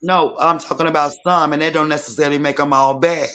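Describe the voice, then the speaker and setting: A hoarse woman, giving frank, emphatic opinions in a casual group debate. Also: low-pitched, steady tone, fast talker, a bit bright. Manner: frank, emphatic, says "period". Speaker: panelist.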